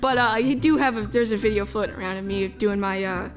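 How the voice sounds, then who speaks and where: A woman's voice: mid-range voice, quick pace, music in the background. A young woman talking casually as an interview guest, giggly and self-deprecating. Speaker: guest, amateur voice actor. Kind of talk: casual chat.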